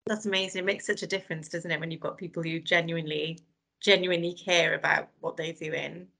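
A woman's voice: on the low side, fairly even intonation, a little fast, vocal fry, sharp tone. A woman hosting a podcast, interviewing a guest and affirming her answers in an encouraging way. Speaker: podcast host.

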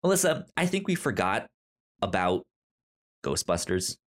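A man co-hosting a casual pop-culture podcast, talking in a halting way with repeated words and trailing sentences. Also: sits low, big pitch swings. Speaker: podcast co-host.

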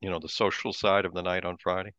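A man co-hosting a podcast, chatting casually in a relaxed, explanatory way, on a dull lo-fi mic. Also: low-pitched, fast, no room sound. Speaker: podcast co-host.

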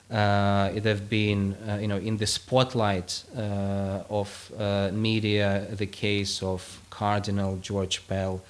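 Low-voiced Russian-native man, hesitant. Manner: hesitant, with many fillers and restarts. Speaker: guest philosopher. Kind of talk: conversational explanation and reading aloud his own text.

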